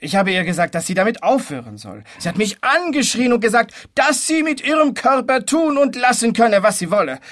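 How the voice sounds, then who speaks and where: An exasperated husband in a radio drama. His voice is high for a man. He complains with indignation and open scorn. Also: big pitch swings, fairly quick, few pauses, a bit bright, full character voice. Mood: exasperated, scornful. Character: exasperated, scornful husband of a beauty-obsessed woman. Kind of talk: radio play dialogue.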